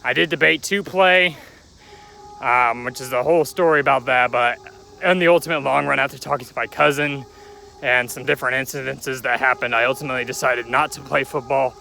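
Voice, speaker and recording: A man's voice: mid-range voice, creaky, pushed and bright, a little hiss or hum, poor audio. A young man telling his life story in a rambling, chatty vlog, recorded outdoors near a railway. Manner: rambling, chatty, joking aside at passing trains. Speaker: vlogger.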